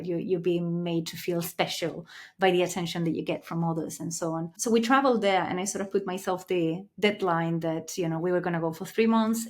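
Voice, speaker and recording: Lower-voiced woman. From Canary Islands, Spain. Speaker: podcast guest, clinical psychologist. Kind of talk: reflective conversational interview answers.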